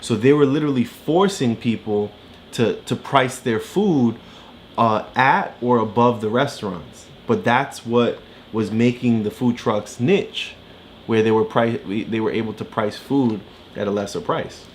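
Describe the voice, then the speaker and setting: Male reading tutor, middle pitch, casual explanatory talk with prompting questions, slight background noise. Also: a little slow. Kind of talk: casual explaining during a read-along lesson.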